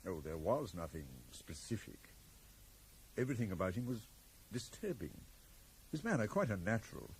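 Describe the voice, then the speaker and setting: A protective, uneasy father in middle age from an eighties radio play. The voice is fairly low with very varied intonation, deliberate and formal. A faint noise sits on the old broadcast recording. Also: sing-song. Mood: uneasy, brooding. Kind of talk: 1980s radio drama acting.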